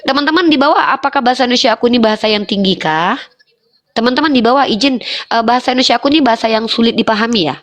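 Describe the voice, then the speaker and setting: A woman's voice: on the high side, a bit bright. Exasperated woman pressing her point. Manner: exasperated, presses the same question again and again; lectures in a firm, deliberate tone. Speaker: debate host. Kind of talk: heated live online debate.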